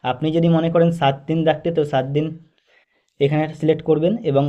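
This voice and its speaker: A man's voice: on the high side, flat delivery, fast talker. A man narrating a step-by-step phone tutorial in a methodical, instructional way with repetitive phrasing. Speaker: tech tutorial host. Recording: dull, lo-fi voice-over recording.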